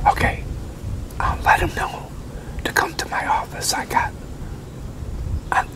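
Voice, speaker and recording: Whispering man in his sixties, slow and halting. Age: 60s. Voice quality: breathy, unvoiced whisper. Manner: halting, with pauses and one-sided conversation. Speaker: ASMR roleplayer playing a wrestling promoter. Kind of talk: whispered ASMR roleplay. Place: open covered outdoor picnic area with metal tables.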